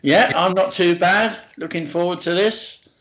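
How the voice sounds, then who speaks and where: A man's voice: fairly even intonation, unhurried, fairly bright tone. Hesitant man on a muffled call. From London. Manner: hesitant, low-key. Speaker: podcast co-host. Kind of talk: casual chat. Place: remote Skype call from home during lockdown. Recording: dull lo-fi internet call audio.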